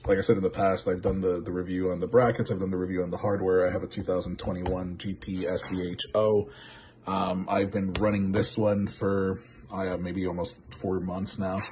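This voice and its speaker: A man with a low voice talks through a product demo in a casual, off-the-cuff way. He circles back and restarts phrases as he goes. Clear background noise and small clicks sit behind him. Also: darkish tone. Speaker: product reviewer.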